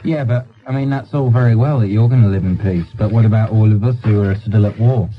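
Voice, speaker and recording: A man's voice: on the low side, fast, faint music behind. A man chatting casually on a radio phone-in, informal and insistent with his questions. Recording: muffled radio broadcast audio.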